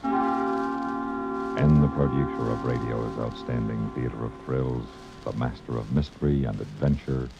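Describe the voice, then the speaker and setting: A man announces an old radio suspense show with ominous drama. His extremely low voice creaks and moves slowly. Music plays behind him on a muffled broadcast. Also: huge pitch swings.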